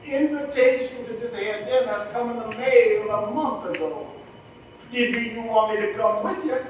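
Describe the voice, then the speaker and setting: A blunt, patient older driver. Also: male voice, extremely high pitch, moderate pace, a little hiss or hum. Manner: blunt, patient, dialect speech. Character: steady older man, a chauffeur, plain-spoken. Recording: echoey film audio from far off.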